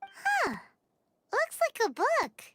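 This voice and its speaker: A woman voicing a tiny, childlike fairy companion with a squeaky, chirpy voice in an anime video game. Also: wildly swooping pitch, very slow, sharp tone, dry recording, clean studio sound, exaggerated voice. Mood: matter-of-fact.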